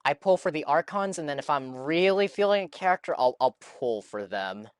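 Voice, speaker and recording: A man's voice: a little high, animated, fairly quick, clean tone, dry recording, clean studio sound. A young man giving casual live commentary while streaming a game and chatting with viewers. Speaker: game livestreamer. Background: video game music.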